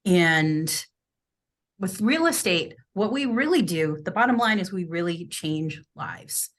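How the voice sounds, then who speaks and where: A woman's voice: on the low side, animated, slow. A middle-aged woman from the US Midwest, a real estate agent introducing herself on a podcast in a friendly, upbeat way.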